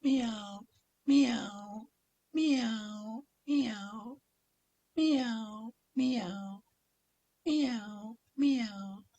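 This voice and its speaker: Man putting on high-pitched pleading little kittens. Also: extremely slow, dark tone, exaggerated voice. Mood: plaintive mewing. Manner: sing-song, childlike pleading with drawn mews. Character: whimpering little kittens pleading and meowing to mother.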